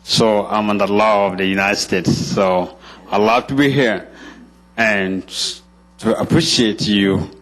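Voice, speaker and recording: A man's voice: on the low side, steady tone, slow, fairly bright tone, low-quality recording. A man from Sudan who speaks as an added language, thanking a county court in earnest, nonnative phrasing. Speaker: refugee guest speaker.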